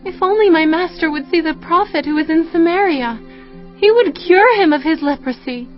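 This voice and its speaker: A woman's voice: very high-pitched, normal speed. A woman playing an earnest young servant girl in a dramatized scripture audiobook. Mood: wistful. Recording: dull lo-fi sound, faint music bed.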